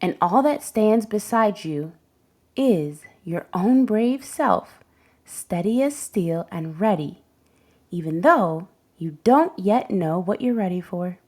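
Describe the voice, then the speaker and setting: A woman's voice: medium pitch, lively intonation, slow, a little mellow. Woman reading a picture book expressively. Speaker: read-aloud host and storyteller. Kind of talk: expressive children's picture book read-aloud.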